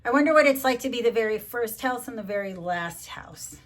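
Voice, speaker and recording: Higher-pitched woman reading a storybook aloud. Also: lively intonation, brisk. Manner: addresses child listeners with gentle questions. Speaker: grandmother reading to children. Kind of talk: storybook read-along with chatty asides.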